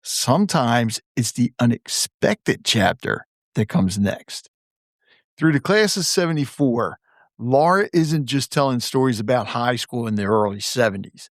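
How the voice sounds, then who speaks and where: A man's voice: mid-range voice, animated, measured pace, no echo. A man hosting a podcast, eager and upbeat with a guest, turning thoughtful as he wraps up. His voice sits in a middle range and is recorded close and dry, with no room sound around it. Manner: eager, enthusiastic, reflective when wrapping up. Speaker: podcast host. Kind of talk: interview and closing monologue.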